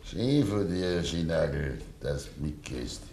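An actor playing a stubborn, grieving old farmer with a Bavarian accent and quiet tenderness in a 1950s dialect radio play. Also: male voice, very deep voice, expressive, slow, noisy background, exaggerated voice. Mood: quiet, confiding. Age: older. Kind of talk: 1950s German dialect radio drama acting.

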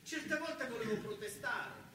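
A man's voice: extremely high pitch, a little slow. A man with a southern Italian accent performing a colloquial, emphatic, repetitive first-person theatrical monologue. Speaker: stage actor performing a narrative monologue. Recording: very echoey, distant, noisy live hall recording.

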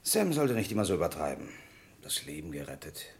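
An actor playing a good-humoured young lawyer just made partner, talking in a breezy, self-deprecating way in an old radio play. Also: male voice, a bass voice, sing-song, fairly quick, creaky, heavily acted. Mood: jovial. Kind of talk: 1950s-80s radio play acting.

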